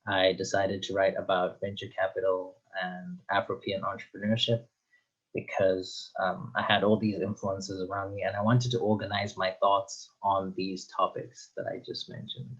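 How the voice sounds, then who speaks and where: A man's voice: low voice, little pitch movement, normal speed, husky, muffled recording. A young man from Zimbabwe, a guest author, answering interview questions thoughtfully with frequent ums and uhs. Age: young adult.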